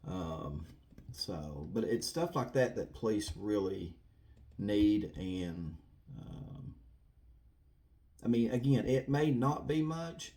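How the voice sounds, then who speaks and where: A man's voice: fairly low pitch, lively intonation, slow, long pauses, mellow. A man giving casual, rambling commentary to viewers in an earnest, folksy way, repeating himself for emphasis. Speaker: true crime video host.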